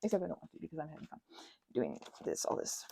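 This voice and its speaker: A woman rambling casually as she crafts, talking in a gushing, halting way and often interrupting herself. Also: low-pitched, huge pitch swings, gravelly, mellow. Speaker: hobby ASMR and roleplay audio creator.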